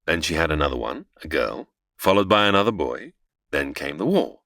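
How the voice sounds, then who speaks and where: A man's voice: very deep voice, sing-song, brisk, fairly bright tone, no echo, clean studio sound, exaggerated voice. A man playing a senior angel who narrates an audio drama, explaining patiently but easily exasperated. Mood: storytelling. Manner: explanatory, storytelling.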